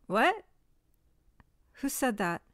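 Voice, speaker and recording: An actress with a Mexican American accent playing a proud, vengeful young woman, intense and shifting between mutters and shouts. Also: on the high side, very animated, drawn-out words, exaggerated voice. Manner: intense, swinging from muttering to yelling. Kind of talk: audio drama acting.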